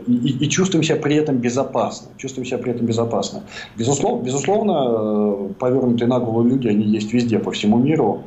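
A man from Russia with a Moscow accent, speaking as a remote guest in a discussion, halting with frequent fillers and restarts. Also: medium pitch, mellow. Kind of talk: discussion contribution. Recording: dull lo-fi remote line.

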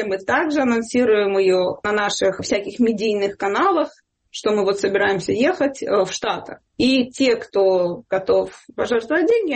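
Woman volunteer in an interview, voice a bit high and raspy, tells stories and quotes people. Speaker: charity fund volunteer being interviewed. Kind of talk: spoken interview answers with anecdotes.

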